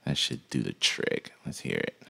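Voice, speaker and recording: A man with a deep voice teaching music production in a relaxed, folksy way, with many "uh" fillers. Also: moderate pace, vocal fry. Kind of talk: casual software tutorial.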